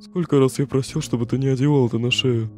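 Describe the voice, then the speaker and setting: A man's voice: mid-range voice, steady tone, quick pace, a little mellow, soft background music, lightly acted. A man voicing a laid-back, weary skeleton big brother in a comic dub, joking, secretive and casual in his slangy talk. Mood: uneasy. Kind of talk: comic dub voice acting with narration.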